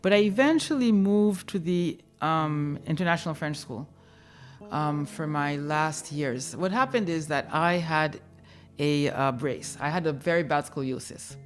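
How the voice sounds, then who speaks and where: A woman in her fifties tells her own story in a low, unhurried voice. She sounds reflective and candid as she talks, with soft music barely there underneath. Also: lively intonation. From born in New York, raised in Haiti. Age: 50s. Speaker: guest telling her migration story. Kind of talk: personal storytelling.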